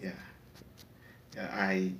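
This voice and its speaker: A man's voice: low voice, monotone, drawn-out words, fairly bright tone, echoey room. A man from Haiti with a Haitian accent, a native Creole speaker, talking earnestly as a podcast guest and often repeating words as he searches for them. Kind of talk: casual discussion.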